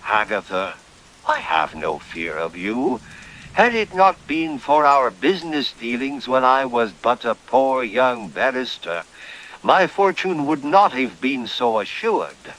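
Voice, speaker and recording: A man's voice: mid-range voice, sing-song, slow, a bit bright, low-quality recording. A man playing a genteel, formal lord in an old-time horror-comedy radio play, with courtly, theatrical, old-fashioned diction. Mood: genial. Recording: hissy track with rain noise. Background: rain and hiss.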